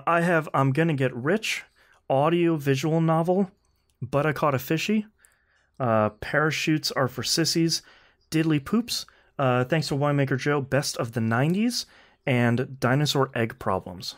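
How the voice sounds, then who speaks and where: A man's voice: a little high, unhurried. Casual male podcast host, mid-range voice.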